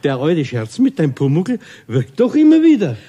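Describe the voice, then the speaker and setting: A man's voice: a little high, wildly swooping pitch, normal speed, mellow, slightly rough, muffled recording, heavily acted. An actor playing a jovial, teasing Bavarian friend of a craftsman in a radio play. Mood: amused. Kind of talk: 1970s German radio play.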